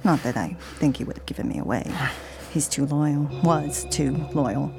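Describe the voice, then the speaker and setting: A woman's voice: low-pitched, expressive, normal speed, creaky. An actress playing a secret flesh-eating half-breed woman in a radio horror drama, wry, hungry, taunting and confiding. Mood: wry, confessing. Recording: music under the voice.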